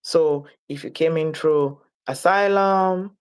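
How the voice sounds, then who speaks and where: Nigerian-born woman, low voice, talks slow and thoughtful in an interview. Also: clean tone. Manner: reflective, deliberate, measured. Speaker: guest. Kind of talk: interview conversation.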